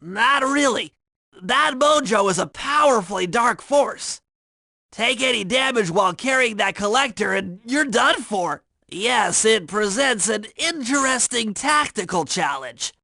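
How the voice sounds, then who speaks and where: A man's voice: high voice, lively intonation, measured pace, vocal fry, pushed and bright, no room sound, clean studio sound, full character voice. A man voicing a nerdy, anxious young male turtle inventor and hacker in a video game, speaking in precise, wordy technical phrases. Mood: focused.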